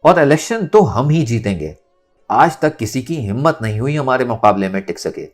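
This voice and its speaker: A man's voice: medium pitch, sing-song, normal speed, faint music behind. A man playing a stern, calculating middle-aged uncle in an audio novel. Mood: cold anger. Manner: stern, scheming.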